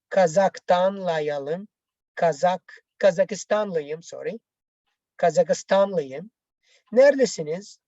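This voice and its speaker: Woman, non-native English, language teacher, lowish voice, halting and repetitive, step-by-step explanations, dull lo-fi recording. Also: warm tone, dry recording. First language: not English. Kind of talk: bilingual lesson, reading out exercises and explaining answers.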